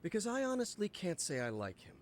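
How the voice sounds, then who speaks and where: A game prosecutor whose fairly high voice rises and falls with lively, sarcastic emphasis. He talks down to the defense with haughty condescension. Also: fairly quick, slight background noise. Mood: condescending.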